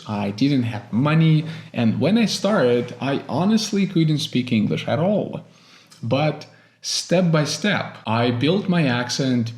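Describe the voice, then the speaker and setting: A young man from Russia with an American accent, a Russian native speaker, calmly telling his own story as an English teacher on his channel. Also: medium pitch, echoey room. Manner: calm, reflective, addresses viewers as guys. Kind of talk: personal storytelling vlog.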